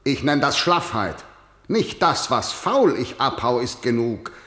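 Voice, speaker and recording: A man's voice: mid-range voice, very animated, a little slow, fairly bright tone. Vengeful old king, declamatory, imperious, swooping intonation, roomy sound, classical radio drama. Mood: stern, forceful. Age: older. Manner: declamatory, commanding.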